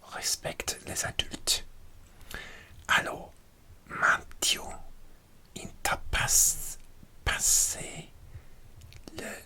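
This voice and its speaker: Guy whispering asmr style, super slow, very bright tone, trips on words. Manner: slow, careful whisper, hesitant over unfamiliar words. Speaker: learner presenting dialect rules.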